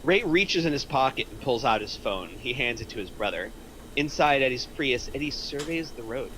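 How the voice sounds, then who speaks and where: A man's voice: mid-range voice, animated, normal speed, sharp tone, a little hiss or hum, poor audio. A man narrating, reading out screenplay stage directions at a table read.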